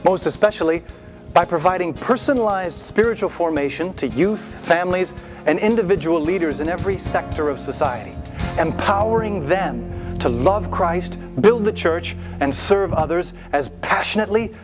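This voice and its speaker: A man giving solemn, measured scripted narration for a promotional video. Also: sits high, animated. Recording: music underneath.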